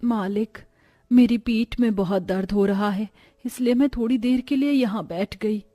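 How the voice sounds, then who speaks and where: A woman's voice: a little high, moderate pace, mellow, close to an everyday voice. A woman voicing a weary, self-sacrificing poor mother in a cartoon moral story, earnest and plaintive.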